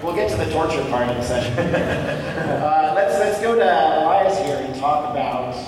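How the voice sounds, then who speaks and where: A man moderating a panel, chatty and quick to laugh, bringing in each guest. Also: on the high side, animated. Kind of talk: panel interview, casual chat. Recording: echoey hall, distant mic, loud noise.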